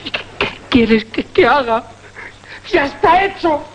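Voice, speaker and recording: A man's voice: extremely high pitch, animated, takes it slowly, fairly bright tone, exaggerated voice. An actor playing a frantic, terrified young man near breakdown in a melodramatic radio drama. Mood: hysterical. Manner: frantic, theatrical. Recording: dull, noisy radio drama recording.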